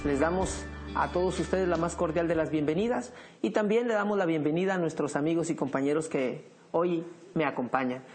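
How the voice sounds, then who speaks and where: A man hosting a Bible study program, leading the discussion in a measured teaching tone. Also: fairly high pitch, a little mellow, soft background music.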